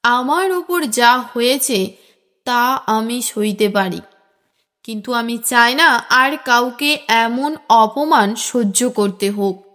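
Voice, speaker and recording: A woman plays a wronged young woman speaking from her diary in an audio story. Her high voice is slow, solemn and plaintive, full of sorrow. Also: a bit bright, big-room sound. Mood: solemn, resolute.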